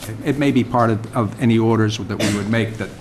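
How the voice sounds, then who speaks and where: A man chairing a conservation commission meeting, speaking in a measured, polite, procedural way. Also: on the low side, steady tone. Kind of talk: public meeting discussion. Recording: noisy municipal TV broadcast.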